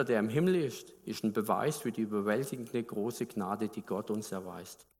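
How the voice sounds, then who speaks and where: A man's voice: medium pitch, darkish tone, in a large hall. A man from Baden in Germany preaching a sermon, talking conversationally and putting questions to the congregation.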